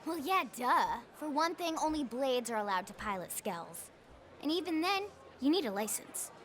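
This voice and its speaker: A young woman playing an excitable, geeky mech enthusiast in a video game, with a chatty, informal manner. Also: sits high, normal speed, slight background noise. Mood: chipper. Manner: gushing, informal, bubbly. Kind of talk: English video game cutscene acting.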